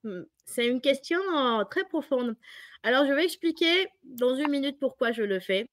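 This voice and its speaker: A woman's voice: high voice, lively intonation, moderate pace, no echo, studio quality. A woman from Mexico with a Mexican Spanish accent, explaining her work as an interview guest. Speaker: interviewed biotech industry professional.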